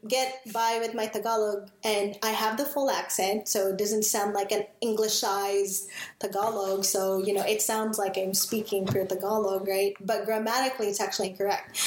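A woman's voice: fairly high pitch, slight background noise. A woman co-hosting a casual podcast, talking in a chatty way with lots of "like" and "you know" and frequent laughs. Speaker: podcast co-host. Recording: roomy echo.

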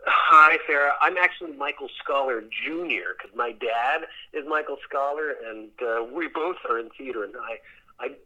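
A male interview guest explains things conversationally, in halting stretches. His voice is strikingly bright and forward, with a mid pitch, over a limited, phone-like connection. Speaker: theater director being interviewed. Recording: band-limited phone or radio-like line.

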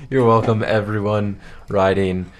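A woman's voice: very deep voice, a little mellow. A woman co-hosting a morning radio show, bantering playfully with her partner. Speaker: radio co-host. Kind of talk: casual morning radio chat. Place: campus radio studio.

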